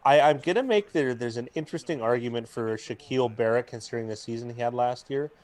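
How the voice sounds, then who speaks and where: A young man with a booming voice, co-hosting a live football watch stream in rambling casual chat, mic clipped to his jacket. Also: fast, a little mellow. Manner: rambling, talkative, full of restarts and fillers. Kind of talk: casual chat and game commentary. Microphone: jacket used as mic mount.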